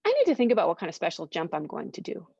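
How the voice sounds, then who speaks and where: A man's voice: fairly high pitch, huge pitch swings, rapid-fire, creaky, darkish tone, slightly rough, no echo, exaggerated voice. Storybook small boy, voiced by a woman: nervous, stalling, full of bravado. Dull, dry sound.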